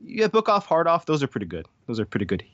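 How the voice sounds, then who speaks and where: A man's voice: on the high side, wildly swooping pitch, quick pace, fairly bright tone, dry recording. Rambling, joking American man on dull audio. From Hawaii, United States. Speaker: interview guest. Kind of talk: casual chat.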